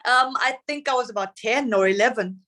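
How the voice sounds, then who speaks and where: Middle-aged singer from Zimbabwe, reflective. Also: female voice, fairly high pitch, brisk. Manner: warm, reflective storytelling with laughs. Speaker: singer, interview guest. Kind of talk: casual interview chat.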